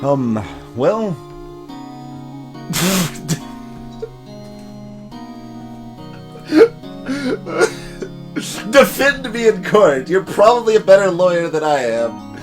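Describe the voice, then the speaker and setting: A man's voice: sits high, very animated, very slow, pauses often. A man hosting a video game let's play, mixing acted dialogue with joking, digressive asides. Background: soft game music.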